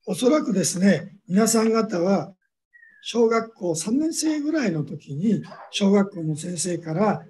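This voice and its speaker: A man giving a measured academic lecture, pausing often on drawn-out fillers. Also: high-pitched, lively intonation, a little mellow, slight background noise. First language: Japanese.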